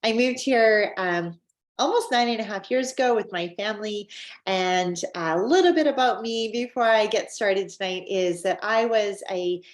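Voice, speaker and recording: A woman's voice: mid-range voice, moderate pace. Upbeat Canadian woman in an echoey room. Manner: upbeat, chatty, with frequent fillers. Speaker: wellness presenter. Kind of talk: scripted-feeling sales presentation. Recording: roomy echo.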